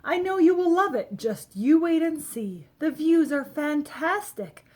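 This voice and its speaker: A woman's voice: high-pitched, expressive, unhurried, darkish tone, voice pushed up. A woman reading the part of an upbeat man camper in a children's rhyming storybook. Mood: cheerful, reassuring. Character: cheerful, enthusiastic man camper talking to his dog.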